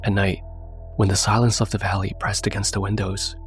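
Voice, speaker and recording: A man hosting and narrating a horror story in a measured, suspenseful way, sounding congested from a cold. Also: fairly low pitch, flat delivery, soft background music. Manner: measured, suspenseful delivery, addressing viewers directly. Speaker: narrator and host.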